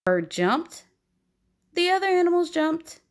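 A woman reading a children's picture book aloud in an expressive, deliberate, dramatic storytelling manner. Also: extremely high pitch. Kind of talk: expressive read-aloud narration.

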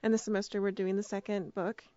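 A woman's voice: mid-range voice, little pitch movement, fast talker, mellow, no room sound. Woman interviewee, dry and slightly dull sound. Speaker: radio drama producer and director, interviewee. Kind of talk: casual interview answers.

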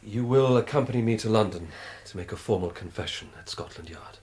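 A man acting a dutiful police inspector in a radio play. His voice is deep and his delivery is formal and official. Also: a little fast, darkish tone, natural voice. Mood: stern, regretful. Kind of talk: BBC radio drama acting.

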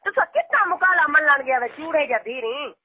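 A woman's voice: high voice, expressive, moderate pace. A woman talking casually as a caller in a phone conversation. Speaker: phone caller, older relative addressed as aunt. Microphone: telephone. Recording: tinny, band-limited phone line.

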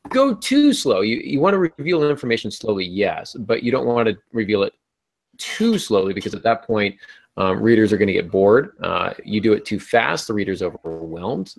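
Middle aged guy with a low voice, chatting informally on a podcast video call. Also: lively intonation, moderate pace, creaky. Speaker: podcast host. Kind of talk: casual panel discussion on writing. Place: small home room, indoors. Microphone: earbud headset on a video call.

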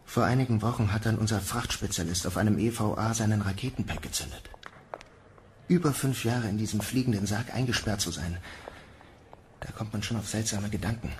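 A man's voice: on the low side, brisk, a little hiss or hum, natural voice. A man playing a world-weary, guarded but protective Mars mission commander in a German audio drama. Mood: sombre, matter-of-fact.

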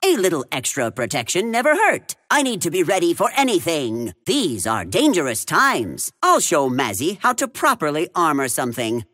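A man's voice: a little high, wildly swooping pitch, moderate pace, hardly any pauses, bright, forceful tone, dry recording, clean studio sound, full character voice. A male actor voicing a cocky little keenfolk tinker, a gleeful mad inventor for a video game, with a smug, theatrical, scheming manner. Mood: eager, self-assured. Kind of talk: video game character voice lines.

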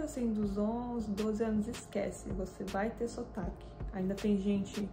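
A woman in her twenties from Brazil, with a Brazilian accent, telling her own story to camera in a casual, animated way. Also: mid-range voice. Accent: Brazilian Portuguese. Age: 20s. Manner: chatty and animated, with frequent hand gestures. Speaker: fitness vlogger. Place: small indoor room with a desk and lamp.

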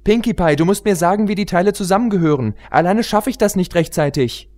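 A man's voice: high voice, animated, fast talker, darkish tone, voice pushed up. A man voicing an earnest young female unicorn in a storybook, pressing and instructive. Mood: urgent.

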